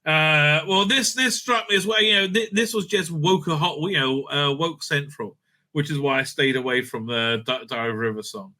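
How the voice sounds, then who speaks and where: A man's voice: a little high, normal speed, pushed and bright. A man hosting a casual, off-the-cuff review commentary, blunt, opinionated and sweary, rambling and repeating words as he goes. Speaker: host reviewing audio drama releases.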